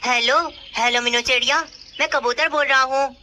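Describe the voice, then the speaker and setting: A woman's voice: high voice, steady tone, normal speed, pushed and bright. A woman voicing a falsely friendly male pigeon in a children's cartoon. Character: kite slyly imitating a friendly male pigeon. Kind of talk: Hindi children's cartoon dialogue. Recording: birdsong noise behind.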